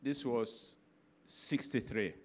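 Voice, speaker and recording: A man's voice: mid-range voice, slow pace, a little mellow. An older man with a Ghanaian accent, telling a chatty anecdote in a sermon as a preacher. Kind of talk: sermon storytelling.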